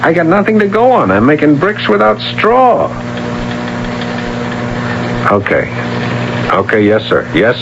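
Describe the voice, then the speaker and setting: An actor playing a harried, plain-spoken young police sergeant in a 1970s radio drama. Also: male voice, mid-range voice. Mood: exasperated. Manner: plain-spoken, exasperated, slangy. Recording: noisy, dull old radio broadcast recording.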